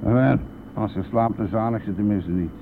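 An actor playing a brash, defensive bus driver in a Dutch radio play, blustering and scoffing in colloquial speech. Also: male voice, on the low side, normal speed, natural voice. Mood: mocking, muttering. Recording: tinny band-limited old radio recording, noisy.

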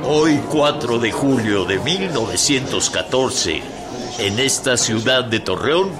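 A mid-pitched male voice as a solemn revolutionary officer. He orates very slowly in radio-drama style amid a noisy crowd. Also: animated, loud music behind the voice. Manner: solemn, oratorical.

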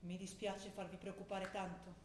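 An actress playing a defiant young daughter. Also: female voice, mid-range voice, flat delivery, normal speed, natural voice. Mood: concerned. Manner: clipped, firm replies. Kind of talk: stage drama acting. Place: theatre stage. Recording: distant, echoing stage with long reverb, faint noise.

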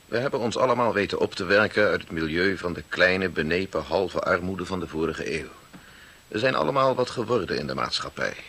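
A man's voice: sits low, pushed and bright, slightly rough, exaggerated voice. An actor playing an ageing family man who reflectively narrates his clan's chronicle in a 1960s radio drama. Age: older. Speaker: narrator in character.

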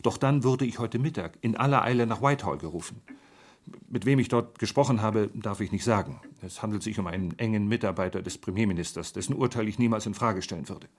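Stern big brother government man, lowish raspy-husky voice, grave and bossy, radio play. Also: fast. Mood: grave warning. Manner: stern, formal, insistent warning. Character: grave, authoritative elder brother, senior government official.

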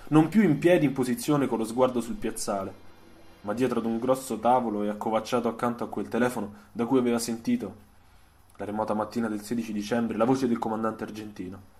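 Guy reading a story on the radio, mid-pitched voice, measured and dramatic delivery. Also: fairly even intonation, darkish tone. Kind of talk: scripted literary radio narration.

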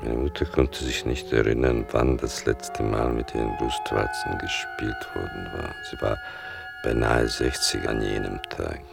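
An actor playing a grown son who narrates his mother's past in a radio play, slowly and intimately, with a gravelly voice. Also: fairly even intonation, full character voice. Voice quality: very deep, gravelly. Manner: slow, deliberate, intimate. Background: music.

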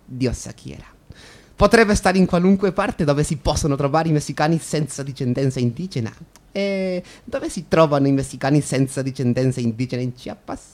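An actor in an Italian-language radio drama playing an evasive, deferential police inspector who reports in a guarded, halting way. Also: medium pitch, darkish tone, natural voice. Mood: confidential, evasive.

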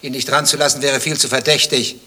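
Sardonic male skeptic, dry probing questions, tense husky voice, mid pitch, radio play dialogue. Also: steady tone, fast, bright, forceful tone. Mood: insistent, accusing. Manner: interrogating, dry, sarcastic.

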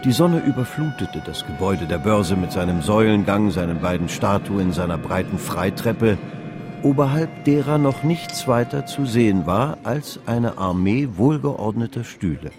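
A man with a low voice narrates a radio drama. His delivery is measured and literary, telling the story from a script. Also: expressive, over music.

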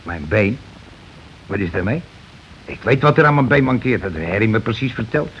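Gruff scheming husband, muffled old radio. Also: male voice, fairly low pitch, lively intonation, moderate pace. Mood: know-all. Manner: colloquial, blustering, evasive. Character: gruff working-class husband, war veteran, scheming and self-excusing. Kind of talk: Dutch radio play acting. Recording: dull, noisy old radio recording.

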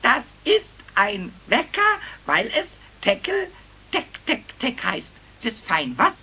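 A man voicing a cheeky, boastful little kobold with a shrill squawky voice in a 1970s children's radio play. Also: takes it slowly, husky, heavily acted. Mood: know-it-all. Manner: stammering excited repetition, singsong rhyming.

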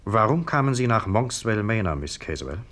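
Stern, insistent police sergeant. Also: male voice, lowish voice, big pitch swings, moderate pace, a bit bright. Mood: probing, brisk. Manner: commanding, clipped, insistent. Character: stern, suspicious police sergeant interrogating suspects. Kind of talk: 1950s radio drama acting.

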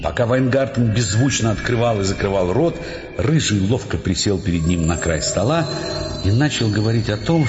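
An actor as the wry, measured narrator of a radio play, reading scripted prose. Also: male voice, deep voice. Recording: echoey hall, dull sound, music behind. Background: music.

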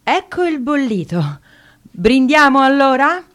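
A high-pitched woman played by an actress hosts a dinner in a radio play. She is friendly and bustling, yet takes her words slowly, in a natural, everyday style. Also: very animated, a bit bright, exaggerated voice. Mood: bustling, familiar.

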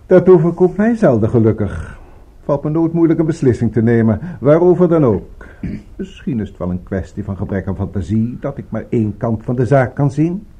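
A suave male crime boss in a radio drama. His voice is mellow and swings freely in pitch, a little husky, with polished, unhurried courtesy over quiet menace. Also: very animated. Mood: smoothly menacing. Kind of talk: Dutch radio drama acting.

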